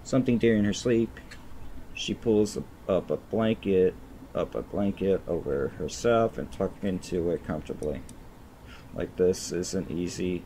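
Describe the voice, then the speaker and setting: A man's voice: low voice, measured pace, dark tone, a little hiss or hum. A man streaming a game, reading its narration aloud and often stumbling over and repeating words.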